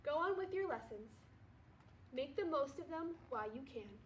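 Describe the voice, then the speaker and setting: A woman reading a children's storybook, voicing a gentle, dignified old grandfather with measured questions. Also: high-pitched, animated, a little hiss or hum. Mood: kindly. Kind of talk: children's storybook read-aloud.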